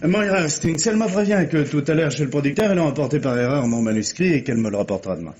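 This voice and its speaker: An actor playing an urbane, conciliatory husband in a 1950s French radio drama, courteous and bantering. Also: male voice, a little high, lively intonation, very fast, slight background noise, close to an everyday voice. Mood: polite. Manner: courteous, bantering, frequent soft interjections. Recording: dull, muffled old radio recording.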